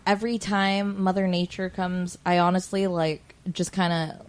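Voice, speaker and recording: A woman's voice: lowish voice, level tone, normal speed. A woman in casual podcast chat, talking frankly and swearing freely as a co-host.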